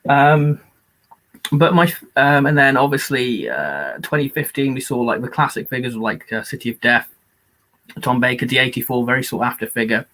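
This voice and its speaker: Rambling, hoarse man chatting on a live-stream. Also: medium pitch, animated, moderate pace, pushed and bright, rough recording. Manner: rambling and enthusiastic, many fillers. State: losing his voice. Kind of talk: casual live-stream chat.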